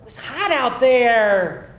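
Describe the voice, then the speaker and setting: A woman plays a doubting, jittery sidekick with a high, very animated voice. The delivery is hesitant and drawn out, in a staged reading in an echoey room. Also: extremely slow, heavily acted. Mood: nervous. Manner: hesitant, questioning. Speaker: actor at a screenplay table read. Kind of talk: live dramatic script reading. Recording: echoey room with background hiss.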